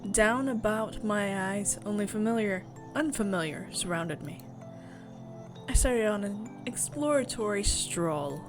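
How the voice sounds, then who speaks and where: A woman streamer reading a visual novel aloud with theatrical, expressive narration and casual asides. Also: mid-range voice, measured pace. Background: music.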